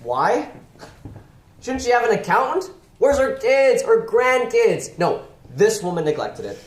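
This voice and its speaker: A woman's voice: fairly high pitch, big pitch swings, measured pace. A woman student actor playing a scornful, argumentative loan shark in a stage play, with an emphatic, sardonic delivery. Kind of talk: stage play acting in a one-act play. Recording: echoey theater room, faint noise.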